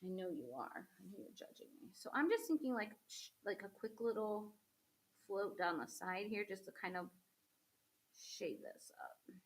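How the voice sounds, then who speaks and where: A woman's voice: mid-range voice, lively intonation, moderate pace. A woman hosting a crafting demo, chatting casually to viewers as she paints, a little sniffly from a cold. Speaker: craft host.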